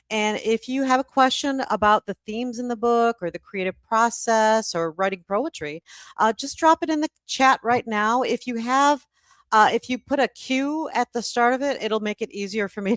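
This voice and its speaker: A woman's voice: a little high, no echo. A woman hosting a live stream in a casual, slightly hesitant way, repeating words as she thinks. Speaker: host of live book event.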